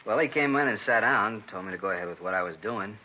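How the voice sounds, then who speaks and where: A man playing a low-voiced, quick, plain-spoken baker. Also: animated, natural voice. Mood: matter-of-fact. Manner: casual, folksy, matter-of-fact. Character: plain-spoken male bakery shop owner, unfussy robbery victim. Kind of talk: 1950s radio drama dialogue. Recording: dull, noisy old radio recording.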